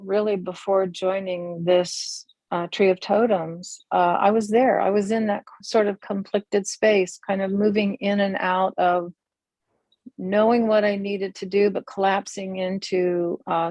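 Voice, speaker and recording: A woman's voice: mid-range voice, darkish tone, no echo, muffled recording. Older woman, community elder, reflective interview guest, dry video-call sound. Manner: thoughtful, searching, many pauses and restarts. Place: room with large windows onto a forest.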